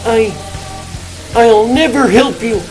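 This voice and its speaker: A man playing an earnest, anguished young male superhero in a comic dub, with introspective, halting dramatic delivery. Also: extremely high pitch. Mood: frightened, defiant. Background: loud music.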